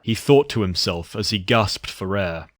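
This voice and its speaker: Low-voiced man narrating horror fiction, dry sound. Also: expressive, measured pace, no echo. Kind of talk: scripted horror story narration.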